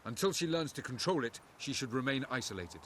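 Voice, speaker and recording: An actor playing an ancient, stern elf sage in a fantasy game, speaking formally in a measured, condescending way. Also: male voice, medium pitch, lively intonation, a bit bright, a little hiss or hum, natural voice. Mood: stern, disdainful. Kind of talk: video game voice acting.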